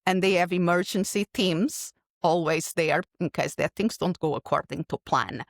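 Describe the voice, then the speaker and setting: A woman's voice: fairly low pitch, no room sound, clean studio sound. Portuguese-accented woman, thoughtful and earnest. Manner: earnest, reflective, speaks in long explanatory runs with restarts. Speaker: keynote speaker guest. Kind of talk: podcast interview answer.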